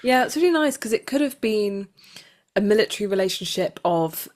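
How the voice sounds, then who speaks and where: A woman's voice: mid-range voice, lively intonation, a little fast, a little mellow. A woman talking casually and reflectively as an actor being interviewed.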